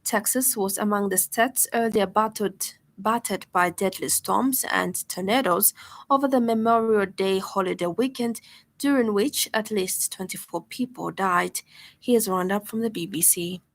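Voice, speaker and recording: A woman's voice: medium pitch, normal speed. A woman with a Zambian accent reading a scripted news bulletin as a radio presenter in a studio.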